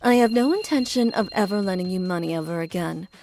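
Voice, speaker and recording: A woman's voice: on the low side, fast, background noise, close to an everyday voice. A woman voicing a firm, sensible young woman who scolds a friend and narrates a dubbed manga drama. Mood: cold. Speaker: narrator and voice actor in a manga dub. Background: faint background music.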